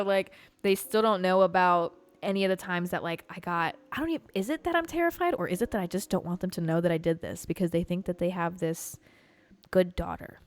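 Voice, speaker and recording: A woman's voice: fairly low pitch, clear, resonant tone. Chatty young woman telling stories, unfiltered. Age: 20s. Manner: candid, loose and profane, heavy use of "like". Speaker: podcast host. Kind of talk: casual storytelling monologue.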